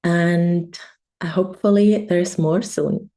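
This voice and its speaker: German-accented woman coach, mellow lowish voice, slow and hesitant delivery. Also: fairly even intonation. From Bavaria, Germany. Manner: reflective, hesitant, many ums. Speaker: embodiment coach. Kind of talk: casual introductory vlog.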